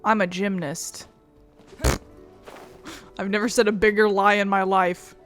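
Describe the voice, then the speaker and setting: A woman's voice: medium pitch, little pitch movement, unhurried, fairly bright tone, faint music behind. A woman giving casual, wry let's play commentary as a gaming video host, swearing freely.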